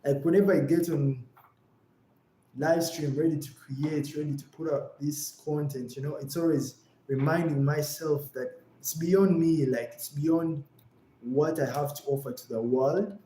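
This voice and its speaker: A man's voice: a little high, fairly even intonation, unhurried, dark tone, echoey room, slight background noise, poor audio. A young man from Uganda talking on a livestream, earnest and reflective, often repeating his phrases. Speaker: live streamer and creator. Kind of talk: livestream talk.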